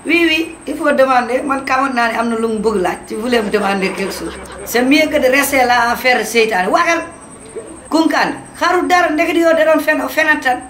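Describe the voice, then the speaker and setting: An actor voicing an excitable, loudmouthed schemer in a cartoon, with a Senegalese accent and an exclamatory, scolding delivery. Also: high voice, a little fast, lightly acted. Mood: scolding, indignant. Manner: exclamatory, scolding, switching between two languages. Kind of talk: animated cartoon voice acting in comedy. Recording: roomy, echoing, with background noise.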